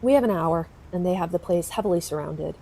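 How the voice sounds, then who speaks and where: Low, mellow-voiced tough detective played by a woman. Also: brisk, creaky, rough recording. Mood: briefing, tense. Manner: dry, no-nonsense. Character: tough, wry female homicide detective, army veteran. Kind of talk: audio drama acting.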